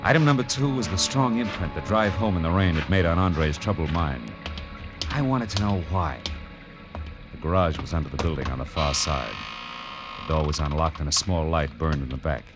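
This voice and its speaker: An actor playing a hardboiled, wry male private detective who narrates an old-time radio drama in terse, slangy phrases. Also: very deep voice. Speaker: lead and narrator. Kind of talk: 1940s-style radio detective narration and dialogue.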